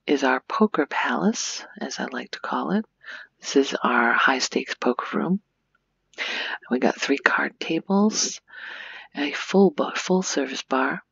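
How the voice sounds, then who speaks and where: Female ASMR game-tour narrator: hushed, gentle, slow-paced, with gravelly fry in a soft near-whisper; dry, slightly dull close-up sound. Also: rumbling low, dry recording. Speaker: video creator giving a game tour.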